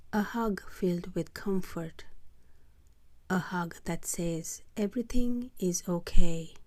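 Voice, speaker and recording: A woman gently guiding children through a scripted bedtime meditation, soothing and hushed, often close to a whisper, in short phrases with pauses. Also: fairly low pitch, no room sound. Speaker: host of a children's sleep meditation.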